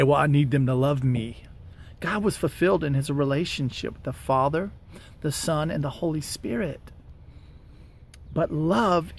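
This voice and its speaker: An American man in his forties giving casual, earnest encouragement to the camera, speaking conversationally outdoors into a handheld device. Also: fairly high pitch. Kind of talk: casual devotional talk to camera. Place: outdoors by a wooded parking lot. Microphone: camera or phone at arm's length. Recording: outdoor ambient noise.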